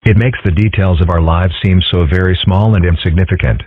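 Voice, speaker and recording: Man voicing a very deep, musing fox boy. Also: fairly quick, no echo. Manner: dreamy, musing. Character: calm, thoughtful young fox boy, philosophical. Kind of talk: amateur comic dub. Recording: dry, phone-like narrow sound.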